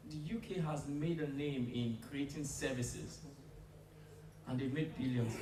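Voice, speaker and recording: A man with a slightly raised pitch gives calm, explanatory advice at a community panel. He is picked up from a distance in an echoey hall, with steady noise behind him. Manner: measured, explanatory, some restarts. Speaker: panelist, founder. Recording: echoey room from far off, noisy.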